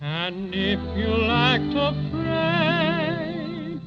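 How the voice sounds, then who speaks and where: A huffy little toy bear, mock fierce slow singsong, loud music, muffled old radio. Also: high voice. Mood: mock-fierce, boastful. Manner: mock-ferocious, sing-song, drawn-out rhymes. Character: tiny, huffy, boastful, harmless growling teddy bear. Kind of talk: 1930s radio musical character song in rhyme. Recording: muffled 1930s recording, loud music behind.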